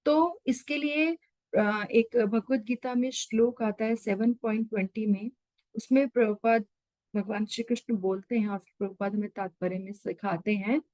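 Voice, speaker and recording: Woman, online scripture teacher on a video call, fairly high voice, dry close sound, everyday examples. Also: normal speed, a little mellow, dry recording, poor audio. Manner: explains with everyday examples, mixes English terms. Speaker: Gita study session leader.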